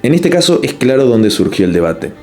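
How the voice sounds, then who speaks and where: Un hombre que narra un ensayo en video con un tono pausado y explicativo. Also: male voice, mid-range voice, big pitch swings, darkish tone, faint music behind. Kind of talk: scripted narration.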